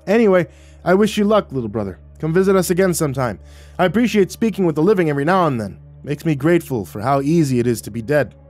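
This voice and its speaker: A man's voice: fairly high pitch, animated, fast, soft background music, voice pushed up. A man voicing a solemn, dryly teasing Navajo ancestor spirit, tall and wise, in a narrated story. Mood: surly, kindly. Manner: quiet, grave delivery with dry teasing.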